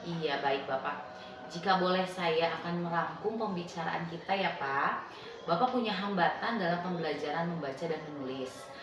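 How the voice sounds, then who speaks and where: Low-pitched polite woman in echoey room. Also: normal speed, over music. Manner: polite, attentive, conversational. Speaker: teacher coach. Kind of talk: role-play coaching interview. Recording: very echoey room with clear noise.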